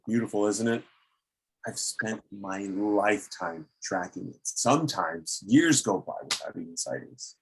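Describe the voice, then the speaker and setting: A man playing a menacing, obsessive old explorer in a table read, theatrical and deliberate, with a husky voice. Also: lowish voice, slight background noise, rough recording. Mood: brooding, obsessed.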